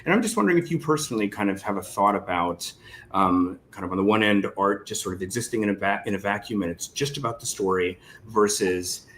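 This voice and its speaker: A man with a fairly low voice hosts a casual live online interview. He speaks in a hesitant, roundabout way, hedging as he frames his questions. Some noise sits behind his voice. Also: expressive, fast, a bit bright. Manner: hesitant, rambling questioning with hedges. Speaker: interview host. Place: live online video call.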